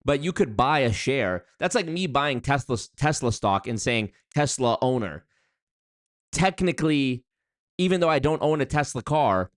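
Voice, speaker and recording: A man's voice: medium pitch, no room sound, studio quality. Wry, chatty male streamer. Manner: wry and chatty, with restarts and asides. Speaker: streamer commenting on a video. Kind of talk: casual live-stream commentary.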